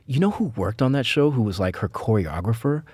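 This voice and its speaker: A man's voice: medium pitch, fairly quick, breathy edge, no room sound, studio quality. A man, an actor guest on a podcast, telling stories in casual chat, acting out quotes and laughing often. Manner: animated storyteller, acts out quotes, laughs often.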